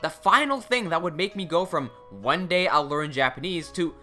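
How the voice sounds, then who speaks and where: Young man, native English and Cantonese speaker, chatty self-mocking vlogger, animated delivery. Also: on the high side, normal speed, soft background music. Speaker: language-learning YouTuber. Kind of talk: casual vlog narration.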